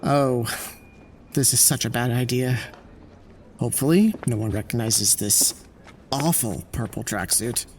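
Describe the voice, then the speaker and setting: A man's voice: medium pitch, a little slow, long pauses, noisy background, lightly acted. An actor playing an anxious male scientist on the run, paranoid and rambling, stammering when flustered. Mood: nervous. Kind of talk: amateur audio drama acting.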